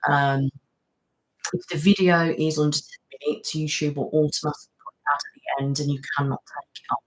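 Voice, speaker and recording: A woman's voice: sits low, steady tone, brisk, poor audio. A middle-aged British woman, an ASMR channel host, chatting casually on a live stream and answering viewers, rambling with many fillers. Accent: British English.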